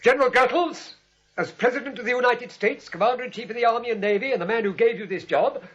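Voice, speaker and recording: A man's voice: fast talker, fairly bright tone, breathy edge, full character voice. An actor playing a cheerful deluded man who thinks he is President, pompous and formal, in 1940s radio comedy style. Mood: commanding. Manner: pompous, booming military formality. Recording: dull old radio recording.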